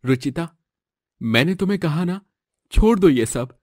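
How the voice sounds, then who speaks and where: A man's voice: a little high, animated, unhurried, a little mellow, dry recording. A man voicing an earnest, lovestruck young son of a village chief, emotional and pleading, in a moral-story cartoon. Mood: pleading, loving.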